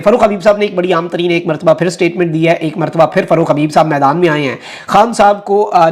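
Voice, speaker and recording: A man with a Pakistani accent presenting political news commentary to viewers, mixing English phrases into his talk. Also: high-pitched.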